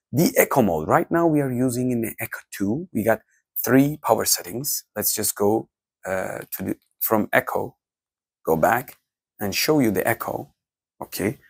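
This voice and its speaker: Man, gravelly. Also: fairly low pitch, dry recording, studio quality. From Turkey. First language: Turkish. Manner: halting, many restarts and fillers. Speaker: tech reviewer. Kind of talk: off-the-cuff menu walkthrough.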